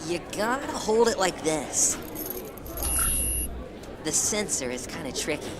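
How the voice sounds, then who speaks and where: A woman's voice: fairly low pitch, big pitch swings, slow, a loud crowd, very noisy. A woman voicing a friendly, eager, helpful young boy in a sci-fi audio drama, earnest and cheerful.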